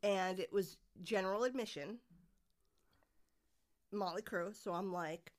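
A woman's voice: medium pitch, measured pace. A middle-aged woman, a guest on a podcast, chatting casually and rambling through stories with lots of fillers. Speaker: guest, musician's mother.

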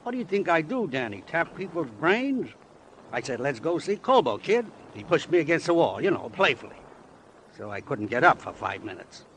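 An actor playing a slick, cagey lawyer in an old-time radio crime drama, glib, needling and playfully sarcastic. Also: male voice, on the high side, big pitch swings, moderate pace, fairly bright tone. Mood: mocking, evasive. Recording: slightly noisy vintage radio recording.

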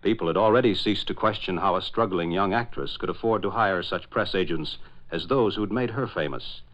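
A man's voice: sits low, a little fast, a bit bright. A man narrating as a 1940s radio announcer in a dramatic, declamatory style, on a dull old recording.

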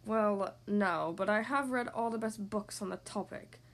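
A woman voicing a meek, bookish male monster in a breathy voice, polite and apologetic. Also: a little slow, a bit bright. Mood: sheepish. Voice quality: low, breathy.

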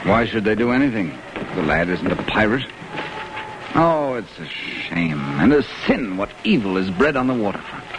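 A man's voice: on the low side, very animated, normal speed. An actor playing a kindly, righteous ship's captain with a fatherly, oratorical manner in an old radio drama. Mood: indignant. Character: kindly, righteous middle-aged ship's captain, protective and grave. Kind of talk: 1940s radio acting. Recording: old radio recording, faint noise.

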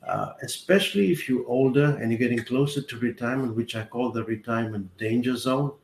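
A man, his voice gravelly, lays out finances in a measured, methodical way. The call audio is roomy, with a faint hiss behind it. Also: mid-range voice. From South Africa. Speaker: accountant and retirement adviser guest. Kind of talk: explanatory interview answers.

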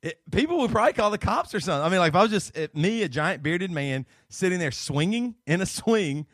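A man's voice: high-pitched, sing-song, quick pace, a bit bright, dry recording, clean studio sound. A man in his late thirties with a Southern American accent, co-hosting a podcast and telling rambling stories with frequent laughter. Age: 30s. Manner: rambling storyteller, laughs often. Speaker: podcast co-host. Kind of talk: casual chat.

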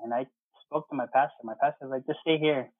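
A man's voice: mid-range voice, steady tone, quick pace, breathy edge, no room sound. A man in his thirties telling his own story casually as an interview guest, conversational and retelling exchanges in quoted speech, over a muffled phone-like line. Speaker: interview guest, air traffic controller.

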